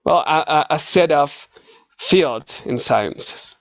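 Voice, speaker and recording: A man's voice: fairly high pitch, expressive, takes it slowly, no room sound. A man from Mexico with a Mexican accent, a native Spanish speaker, answering interview questions as a scientist, hesitantly searching for words. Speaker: scientist, English learner being interviewed.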